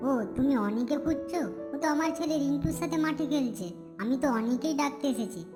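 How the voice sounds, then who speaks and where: A woman's voice: high-pitched, brisk, over music, natural voice. A woman voicing a chatty neighbor mother. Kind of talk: cartoon voice acting.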